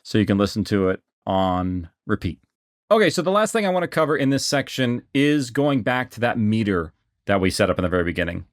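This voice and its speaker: A man narrating a casual software tutorial as an instructor, informally explaining and thinking aloud as he goes. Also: on the low side, very animated, a little fast, dry recording, clean studio sound.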